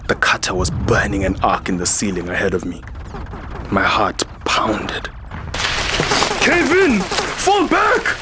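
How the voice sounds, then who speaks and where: An actor playing a weary, hot-tempered military security chief in a science fiction audio drama, speaking in a terse, dramatic way. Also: male voice, lowish voice, big pitch swings, slow pace, heavy background noise. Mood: shouting orders. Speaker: lead actor and first-person narrator. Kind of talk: audio drama acting and narration.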